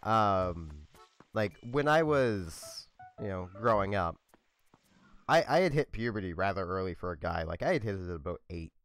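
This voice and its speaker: A young man hosting a let's play, talking in a monotone, low-key, rambling way with a slightly scratchy voice. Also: normal speed, faint music behind. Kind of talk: casual gameplay commentary.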